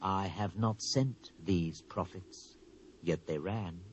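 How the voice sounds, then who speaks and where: A British actor playing a stern, wrathful Hebrew prophet in a dramatised scripture reading, solemn and declamatory, swelling and dropping for emphasis. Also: male voice, deep voice, slow pace, slight background noise. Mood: wrathful, commanding. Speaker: Bible narrator. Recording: dull lo-fi cassette transfer.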